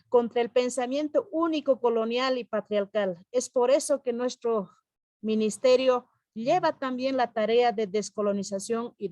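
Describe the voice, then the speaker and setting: A woman's voice: fairly high pitch, mellow, dry recording. A woman from Bolivia with a Bolivian Spanish accent, a culture ministry representative giving a solemn, declamatory prepared speech over a video call, with a few hesitations.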